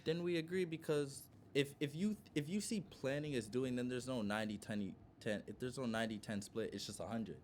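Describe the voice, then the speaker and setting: A man's voice: medium pitch, brisk, few pauses, darkish tone, a little hiss or hum. A man co-hosting a podcast in a casual debate, insistent, often restarting as he reworks his point. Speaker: podcast co-host.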